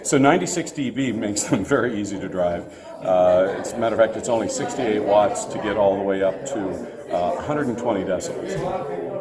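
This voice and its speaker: Low-voiced man demonstrating products in a crowd. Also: expressive, moderate pace. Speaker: loudspeaker company representative presenting a product line. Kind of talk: unscripted product demonstration at a trade show. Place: indoor trade show floor. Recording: busy crowd noise around the voice. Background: crowd chatter.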